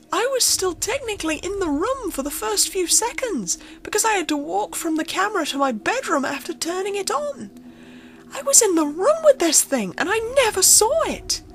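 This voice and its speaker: A woman's voice: sits high, fairly bright tone, slight background noise. A woman reading a first-person horror story aloud in a dramatic, suspenseful, acted-out way. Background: music.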